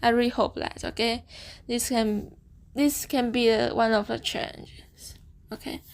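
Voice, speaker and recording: Young female student vlogger, Chinese first language; unhurried, halting delivery with a lightly raised pitch. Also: steady tone. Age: young adult. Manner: hesitant, halting non-native English, frequent "okay?" tags; slow, thoughtful, giggles often. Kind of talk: casual chat to camera.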